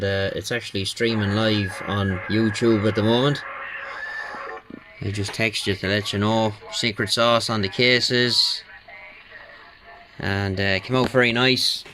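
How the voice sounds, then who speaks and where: A man giving a casual hands-on repair walkthrough as a technician, in a halting, matter-of-fact way. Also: on the low side, background noise. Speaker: radio repair technician presenting own work.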